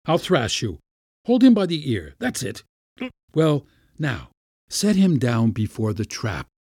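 An irascible storybook schoolmaster, as acted out by a male narrator. He scolds and commands with pompous fury, his pitch jumping up and swinging widely. The recording is close and dry. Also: wildly swooping pitch, slow pace, darkish tone, breathy edge, no echo, full character voice. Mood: commanding. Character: irascible Latin schoolmaster uncle, pompous and furious.

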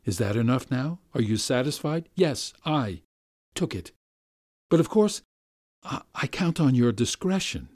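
A man reading aloud voices a weak, irresolute middle-aged gentleman who speaks in a soft, subdued, ingratiating way and keeps pleading. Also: sing-song, a little slow, dry recording, clean studio sound. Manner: pleading, rising to high plaintive appeals.

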